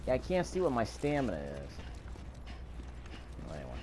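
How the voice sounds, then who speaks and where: A man's voice: mid-range voice, huge pitch swings, normal speed, background noise. A man giving casual, offhand let's play commentary, muttering to himself as he plays. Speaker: video game player recording commentary. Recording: game sound under the voice. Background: video game ambience.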